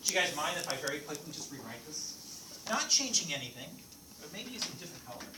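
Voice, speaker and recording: A man whose first language is French teaches a math lecture, and his pitch swings up and down as he quizzes the class. He is recorded from a distance in an echoey classroom. Steady noise runs under his voice. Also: fast. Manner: lively, playful teacher asking the class questions. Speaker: math instructor.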